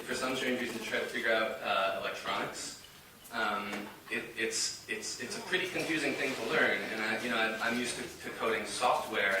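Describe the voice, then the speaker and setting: A man with a gravelly, cold-affected voice giving an informal award introduction speech, wry, self-deprecating and halting. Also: on the low side, sharp tone. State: has a bad cold. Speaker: award presenter. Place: large room at a tech conference. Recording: echoey hall, distant mic, faint noise.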